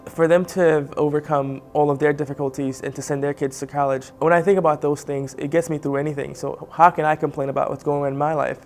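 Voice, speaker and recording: Young man from Haiti, guest testimony, gravelly, even-toned, faint music behind. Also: a little high. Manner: earnest, pauses with fillers. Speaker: spotlight guest. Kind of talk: personal testimony.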